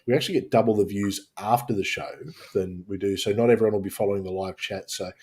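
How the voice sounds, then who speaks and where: A man's voice: on the low side, fairly even intonation, quick pace, a little mellow, breathy edge. A man hosting a casual live show, often repeating and restarting his phrases. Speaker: host.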